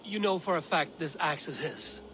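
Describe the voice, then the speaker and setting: An actor playing a level-headed, battle-worn Viking warrior in a video game, measured and sober. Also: male voice, fairly high pitch, a bit bright, slight background noise, close to an everyday voice. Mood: questioning. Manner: measured, sober, archaic phrasing. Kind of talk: dramatic video game acting.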